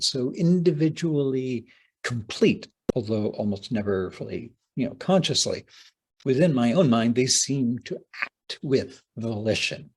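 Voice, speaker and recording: Male lecturer, playwright type: husky tone, unhurried delivery, theatrical phrasing, deliberate dramatic pauses. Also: medium pitch. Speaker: playwright giving a talk. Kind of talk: prepared conference lecture, read aloud with dramatic phrasing.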